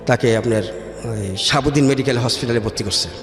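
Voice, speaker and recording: A man with a Bangladeshi accent telling his personal story as a radio interview guest, haltingly and often repeating words. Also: mid-range voice. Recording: echoey hall-like reverb with music. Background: music.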